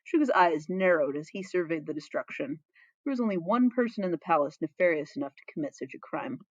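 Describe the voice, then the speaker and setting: A woman reads a story aloud with playful drama and very lively intonation. The recording is dry and a little dull, like a modest home setup. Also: fairly low pitch, brisk, dry recording. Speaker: fan fiction reader. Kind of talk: expressive story narration with playful asides.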